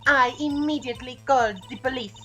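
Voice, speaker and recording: A woman's voice: high voice, brisk, pushed and bright, soft background music. A woman playing a curt, dismissive female producer giving testimony in an amateur video game dub. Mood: cool, guarded. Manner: curt, haughty. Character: cool, dismissive female TV producer on the witness stand. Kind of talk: amateur game fandub acting.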